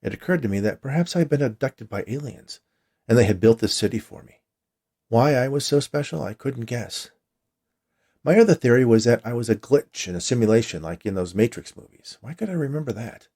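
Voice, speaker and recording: A man's voice: mid-range voice, expressive, fairly quick, warm tone. A man reading his own short story aloud as a scripted first-person narration.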